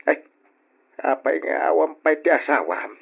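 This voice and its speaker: A man's voice: on the high side, expressive, a little slow, vocal fry, slightly rough, heavily acted. A man with an Ilocano accent playing a kindly, hospitable older father in a radio drama. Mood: kindly, inviting. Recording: dull lo-fi old radio recording.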